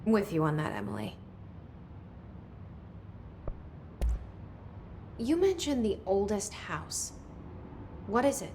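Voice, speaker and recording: A woman's voice: mid-range voice, big pitch swings, moderate pace, warm tone, slight background noise. A woman playing a guarded, searching young outsider heroine in a video game, speaking in an understated, introspective way. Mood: questioning. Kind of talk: naturalistic video game dialogue and inner monologue.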